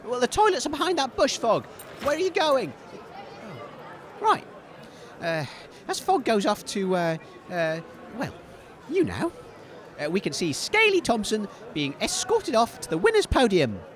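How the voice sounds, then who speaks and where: A man playing an excitable, good-natured comic sports commentator, enthusiastic but hesitant and easily flustered. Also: extremely high pitch, unhurried, some chatter behind, exaggerated voice. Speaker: sports commentator and radio co-host.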